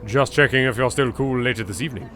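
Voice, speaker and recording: A man's voice: medium pitch, quick pace, a bit bright, soft background music, lightly acted. A man voicing an easygoing young male friend making a casual, teasing phone call in a visual novel. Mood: easygoing, curious.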